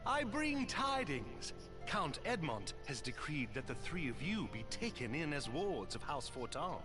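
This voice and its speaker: A man's voice: fairly high pitch, very animated, unhurried, music in the background. A man playing an earnest, loyal nobleman in a game cutscene, reassuring and formal in courtly archaic phrasing. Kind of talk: video game cutscene acting.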